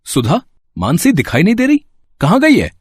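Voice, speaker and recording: A man's voice: high-pitched, huge pitch swings, normal speed, breathy edge, no echo, full character voice. A man playing a righteous, protective uncle in a cartoon moral story, dramatic and reproachful. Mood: concerned. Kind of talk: Hindi animated moral story acting.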